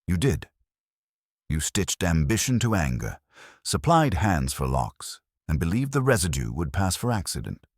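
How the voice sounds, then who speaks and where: A man's voice: low-pitched, big pitch swings, moderate pace, dry recording, clean studio sound, full character voice. A man reading the part of a stern, imperious older detective in formal, clipped, declarative sentences for an audio mystery. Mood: accusing, stern.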